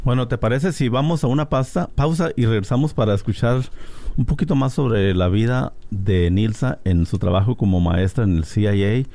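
A man from Puerto Rico hosting a radio talk show in a relaxed, conversational way. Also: mid-range voice, normal speed, creaky. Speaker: radio host. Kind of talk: casual radio interview.

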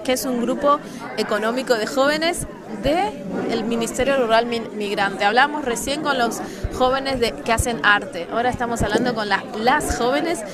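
Woman radio co-host, higher voice, direct and playful, loud crowd behind her. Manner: curious, direct questioner, playful asides. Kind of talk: live on-location radio interview.

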